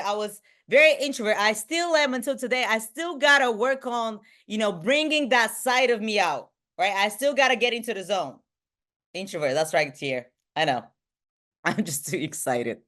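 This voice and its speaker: An excitable woman in her thirties, from Bulgaria, coaches a sales group online. She has a mid-pitched voice and speaks in a punchy, motivational way, frequently tossing questions to her listeners. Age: 30s. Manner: excitable, punchy, often checks in with rhetorical questions. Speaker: trainer for a direct sales team. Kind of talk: motivational online training talk to a live group.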